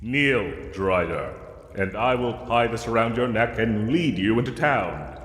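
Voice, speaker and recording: In a long, echoing space, a pompous knight acted by a man holds forth in a fantasy roleplay. His formal, old-fashioned speech is slow and swoops theatrically, condescending but with a soft heart beneath the gallantry. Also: lowish voice, animated. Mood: firm, reassuring. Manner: formal, archaic, grandly theatrical diction. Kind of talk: scripted fantasy audio roleplay. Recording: echoey cave-like reverb, music underneath.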